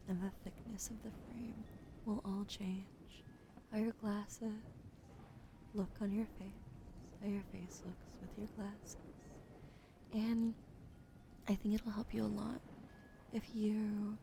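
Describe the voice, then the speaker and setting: A young woman whispering softly and slowly to viewers on an ASMR stream, close to a sensitive microphone at her desk. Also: mid-range voice, level tone, gravelly, background noise. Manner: soft unvoiced whisper, slow and soothing.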